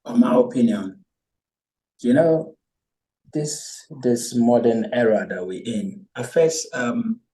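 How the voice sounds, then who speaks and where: A man's voice: mid-range voice, takes it slowly, far from the mic, rough recording. Easygoing man from Ghana, hesitant. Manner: hesitant, many ums, relaxed storyteller. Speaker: guest. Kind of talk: casual chat, storytelling.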